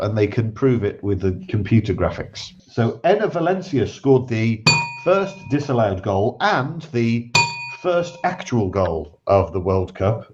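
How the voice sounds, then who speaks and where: Man, podcast host, husky cold voice, lowish pitch, dry teasing delivery, dull lo-fi sound. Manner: dry, teasing, reels off football stats. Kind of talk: casual podcast chat. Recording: dull lo-fi remote audio with faint hiss.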